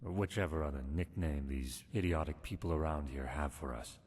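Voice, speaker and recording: Very deep, sardonic, guarded desert rogue. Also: male voice, a little fast, darkish tone, big-room sound, a little hiss or hum. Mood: sardonic, evasive. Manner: smooth, sardonic, politely evasive. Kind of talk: video game character dialogue.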